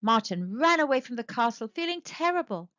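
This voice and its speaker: A woman narrating a children's storybook aloud with expressive, animated storytelling. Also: fairly high pitch, a little fast, no room sound. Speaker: storybook reader. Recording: dry, dull lo-fi sound.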